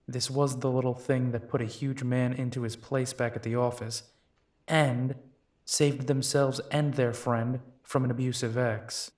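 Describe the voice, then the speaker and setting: A man's voice: mid-range voice, little pitch movement, unhurried, darkish tone, no room sound, close to an everyday voice. Earnest protective werewolf played by a man, dry close recording. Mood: teasing, fond. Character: young male werewolf, protective, determined, devoted to partner. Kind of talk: audio roleplay acting.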